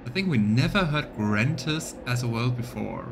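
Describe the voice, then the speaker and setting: A man doing live let's play commentary, reading game text aloud and thinking out loud for his viewers. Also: medium pitch, lively intonation, normal speed, gravelly, noisy background. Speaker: live streamer voicing a game playthrough.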